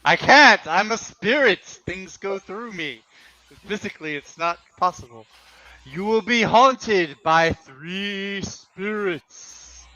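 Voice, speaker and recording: Guy doing doomy dead partner ghost, slow n booming declamatory, tense sharp voice, radio play. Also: expressive, full character voice, poor audio. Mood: solemn warning. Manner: declamatory, solemn, bombastic. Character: mournful, warning ghost of dead business partner. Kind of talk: comic radio play reading.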